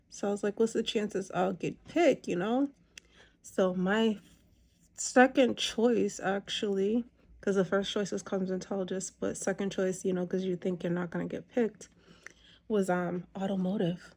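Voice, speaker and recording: Chatty woman with a gravelly edge. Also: medium pitch, warm tone. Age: 30s. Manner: chatty, rambling, many ums. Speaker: makeup vlogger. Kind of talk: casual chat while doing makeup.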